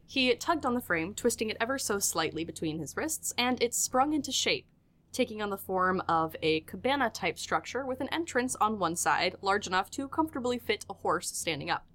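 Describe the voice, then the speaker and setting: A woman's voice: mid-range voice, lively intonation, moderate pace, fairly bright tone. A woman narrating a scripted audio drama as its storyteller.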